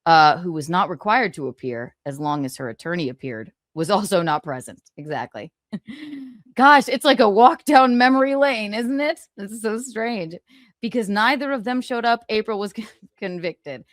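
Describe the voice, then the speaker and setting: A woman's voice: medium pitch, lively intonation, moderate pace, no room sound, clean studio sound. A woman streamer reads from a book aloud and breaks off for sarcastic comments and chuckles. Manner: sarcastic asides, frequent chuckles; thanks viewers for donations mid-read.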